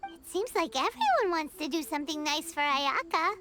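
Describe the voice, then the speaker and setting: A woman's voice: sits high, sing-song, moderate pace, sharp tone, exaggerated voice. A woman voicing a tiny childlike fairy companion in a video game, perky, cheeky and pouty. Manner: speaks of self in third person, whiny and cheeky.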